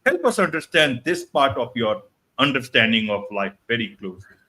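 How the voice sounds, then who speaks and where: A man's voice: medium pitch, sing-song, normal speed, bright, forceful tone. A man hosting an interview, asking questions in an encouraging way. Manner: encouraging, repeats affirmations. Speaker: host.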